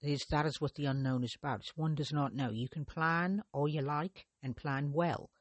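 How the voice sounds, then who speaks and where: A man's voice: fairly high pitch, normal speed, dry recording. A man hosting his own podcast, narrating a mystery in a conversational way with frequent restarts and hesitations. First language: not Russian. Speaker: podcast host.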